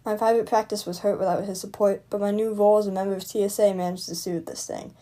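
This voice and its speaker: A woman plays a world-weary private eye in an old radio mystery. The voice sits at a medium pitch, wry and understated, moving between narration and dialogue. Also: steady tone, fast talker, mellow, close to an everyday voice. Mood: reflective.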